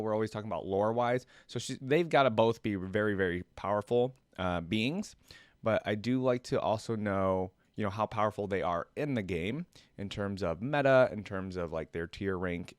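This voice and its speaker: A man's voice: lowish voice, clean tone, no echo. A man giving informal, rambling reaction commentary on a video game, thinking aloud and talking to his viewers. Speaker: host of a game reaction channel. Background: game trailer music.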